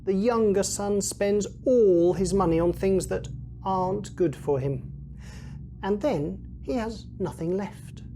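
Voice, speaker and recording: A woman's voice: mid-range voice, slow, dark tone, slight background noise. A woman reading a children's Bible story aloud as a scripted narration for young listeners. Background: music.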